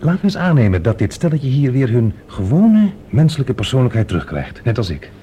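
Man acting as practical spaceman, fast-talking, mellow tone, questioning, alert, radio drama, faint noise. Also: mid-range voice, very animated, natural voice. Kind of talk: Dutch science-fiction radio drama acting. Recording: noisy old radio drama recording.

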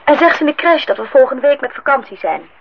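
An actress playing a hesitant woman who plays along as wife and mother in a Dutch radio play, tentative yet expressive. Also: high voice, fast talker, creaky. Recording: dull old radio recording, faint noise.

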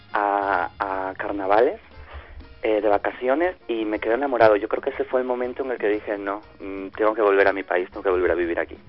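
A man from Ecuador being interviewed over the phone, answering with many hesitations and restarts but with real enthusiasm for his hometown. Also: lowish voice. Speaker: festival organizer guest. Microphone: phone line. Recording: dull lo-fi phone line.

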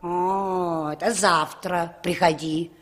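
A woman's voice: on the low side, fairly even intonation, slow pace. A woman playing a chatty older street sweeper in a 1960s radio drama, with a colloquial, rambling manner. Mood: unhurried, chatty. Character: chatty, folksy older female street sweeper, unhurried and helpful.

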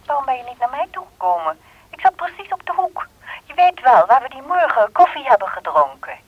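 A woman's voice: sits high, very animated, a little fast. A woman playing a forward, self-assured woman in a Dutch radio drama, coaxing and direct, heard through a telephone. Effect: telephone filter. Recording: muffled telephone sound.

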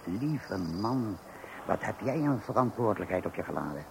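A man's voice: on the low side, big pitch swings, normal speed, gravelly, darkish tone. An actor playing a worldly man in his fifties in a radio play, lecturing in an emphatic, patronising way. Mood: warning, emphatic. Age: 50s. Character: worldly, lecturing fifty-year-old colleague and father of many. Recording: noisy old radio play recording.

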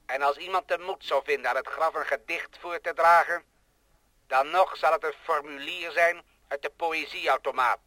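An actor playing a cold, boastful thinking machine that scorns humans, in solemn declamatory radio play style. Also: male voice, a little high, steady tone, normal speed, sharp tone, no room sound. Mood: coldly prophetic. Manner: solemn, pompous, rhetorical.

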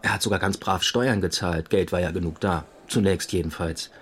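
A man's voice: low voice, steady tone, moderate pace, breathy edge. A man playing a courteous, methodical police detective assistant in a radio crime drama, speaking precisely and matter-of-factly. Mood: factual. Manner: precise, matter-of-fact, slightly formal wording. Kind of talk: German radio crime drama dialogue and narration.

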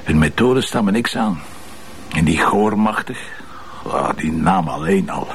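A man with a Flemish accent playing a gruff, stubborn police commissioner in a naturalistic crime drama, blunt and sardonic. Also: low-pitched, animated, measured pace, a little hiss or hum. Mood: grumbling.

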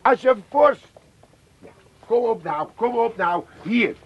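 A slick car dealer in a comedy radio play, pushy and glib. His high voice swings about in excitable patter, on a dull lo-fi recording. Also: slow, exaggerated voice. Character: slick, shifty male used-car seller, pushy and glib.

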